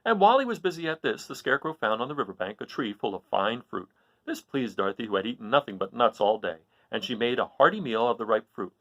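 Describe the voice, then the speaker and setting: Animated male storyteller with swooping pitch. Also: quick pace, no echo, lo-fi sound. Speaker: storyteller reading aloud. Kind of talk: expressive children's story narration.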